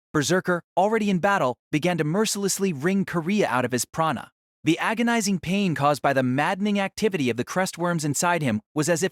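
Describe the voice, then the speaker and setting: A man's voice: on the high side, fairly bright tone, dry recording, studio quality. A man giving solemn, theatrical scripted narration of a fantasy novel.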